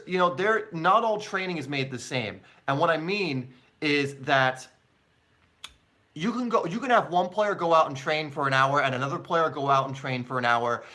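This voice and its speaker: A man's voice: fairly high pitch, pushed and bright. A man from England who has long lived in the United States, hosting a soccer live stream and answering questions in a casual, chatty, informal way. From born in England, long living in the United States. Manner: chatty, informal, addresses viewers as mate and guys.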